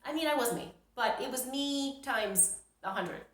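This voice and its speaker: A woman's voice: high voice, expressive, measured pace, fairly bright tone. Woman telling a personal story, echoey room. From New York, United States. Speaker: storyteller, vlogger. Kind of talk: casual personal storytelling to camera. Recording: roomy, echoing sound.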